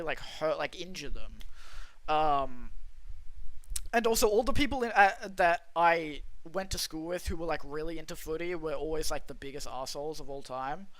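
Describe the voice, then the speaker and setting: Rambling young Australian guy, bright voice. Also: fairly high pitch, dry recording, rough recording. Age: young adult. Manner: rambling, self-interrupting, swears casually. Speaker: streamer. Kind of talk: casual livestream chat reading chat.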